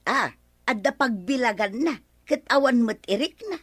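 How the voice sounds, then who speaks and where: An actress playing an easily miffed village neighbour in an Ilocano radio comedy drama, with expressive, drawn-out interjections. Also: female voice, fairly high pitch, breathy edge, no echo. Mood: neighbourly, eager. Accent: Filipino, Ilocano. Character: village neighbour woman borrowing a drying mat, easily miffed.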